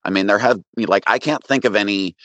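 A man's voice: fairly low pitch, fairly quick, a bit bright, dry recording. A man co-hosting a podcast, talking casually and explaining his opinions at length. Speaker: podcast co-host. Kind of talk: casual discussion.

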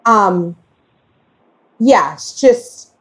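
A woman's voice: mid-range voice, lively intonation, extremely slow, low-quality recording. A woman with a mid-range voice talks in a casual, conversational way. She thinks aloud, often stopping to rephrase and correct herself as she works toward her point. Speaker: podcast discussion guest.

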